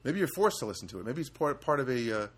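A man hosting a casual solo podcast from a studio apartment, rambling and halting with many fillers. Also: mid-range voice, sing-song, slightly rough. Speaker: podcast host.

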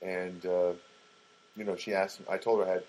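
A man's voice: sits low, flat delivery, normal speed, darkish tone, slight background noise, poor audio. A middle-aged man casually telling a rambling, wry personal story and acting out what people said. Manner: rambling, wry, acts out quoted lines.

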